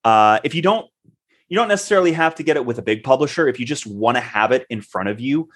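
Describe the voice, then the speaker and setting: A man's voice: medium pitch, expressive, quick pace. A man co-hosting a podcast in casual chat, talking loosely with many fillers and self-corrections. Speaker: podcast co-host.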